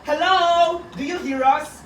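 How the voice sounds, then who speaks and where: A woman's voice: very high voice, measured pace, pitched above the performer's own voice. A woman playing a brusque, impatient sledge passenger in a radio drama, scolding and curt as she orders the driver about. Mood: scolding, angry. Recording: echoey, distant, very noisy.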